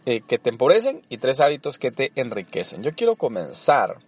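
A man's voice: mid-range voice, huge pitch swings, fairly quick, husky. A man from El Salvador hosting a personal finance podcast, speaking in an earnest, coaching manner with frequent fillers. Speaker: podcast host. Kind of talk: motivational podcast talk.

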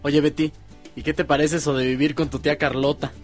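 A man's voice: on the high side, little pitch movement, brisk, faint music behind, close to an everyday voice. An actor playing a casual, slangy, mildly rebellious teenage boy with a Mexican accent in a radio soap. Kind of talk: radio soap opera acting.

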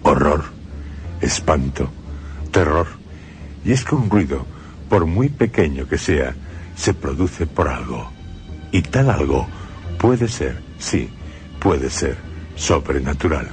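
A man's voice: a bass voice, sing-song, slow pace, long pauses. A middle-aged man hosting a radio drama, narrating in a grave, ominous storytelling manner. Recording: music under the voice.

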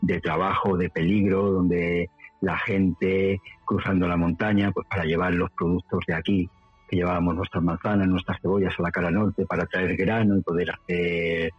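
A man's voice: low-pitched, little pitch movement, moderate pace, slightly rough, no echo. A middle-aged man from Madrid with a central Spanish accent, telling about his life casually as an interview guest. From Madrid, Spain. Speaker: interview guest, rural mountain dweller. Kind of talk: casual conversational storytelling. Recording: dull lo-fi audio, faint music.

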